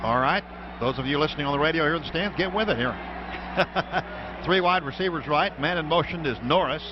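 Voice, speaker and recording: A man's voice: a little high, brisk. An American man calling live football play-by-play on the radio from a stadium booth. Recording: dull, noisy old radio broadcast.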